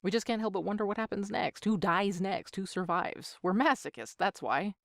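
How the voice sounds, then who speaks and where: A woman's voice: mid-range voice, lively intonation, moderate pace, vocal fry, dry recording, clean studio sound. A woman in her thirties answering interview questions as an amateur voice actor, with a joking, self-deprecating manner. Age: 30s.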